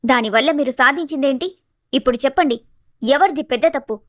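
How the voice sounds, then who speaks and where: Bold young daughter-in-law for storybook drama played by a woman, firm, very high voice, dry recording. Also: brisk, no echo, lightly acted. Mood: firm, confronting. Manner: firm, confronting. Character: bold, clear-headed young daughter-in-law exposing hypocrisy.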